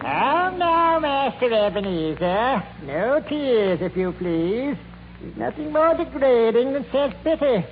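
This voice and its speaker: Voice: medium pitch, animated, takes it slowly, faint music behind. A performer playing a cold, prim, chiding schoolmaster in a 1940s radio drama. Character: stern, scolding male schoolmaster, cold and prim. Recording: tinny 1940s radio recording.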